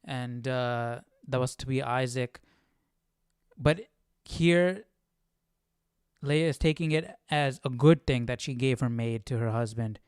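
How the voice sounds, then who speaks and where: A man's voice: mid-range voice, slow pace, darkish tone, clean tone, dry recording, clean studio sound. A man hosting a casual live Bible study stream, thinking aloud in an informal, hesitant way.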